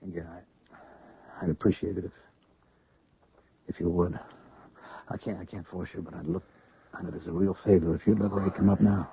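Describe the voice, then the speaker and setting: An American man playing a tormented, submissive young man in a radio drama, earnest and halting, as if confessing. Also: low-pitched, little pitch movement, vocal fry, slight background noise. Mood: cowed, hesitant. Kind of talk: 1970s radio drama acting. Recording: muffled old radio drama recording.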